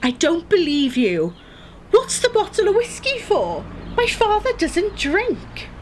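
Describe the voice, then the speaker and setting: Casting for audio drama: woman as a fiery, protective daughter, high voice that leaps about, combative and scolding. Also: huge pitch swings, takes it slowly, a little hiss or hum, exaggerated voice. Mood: angry, indignant. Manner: confrontational, scolding. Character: feisty, indignant grown daughter defending her father. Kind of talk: radio play acting.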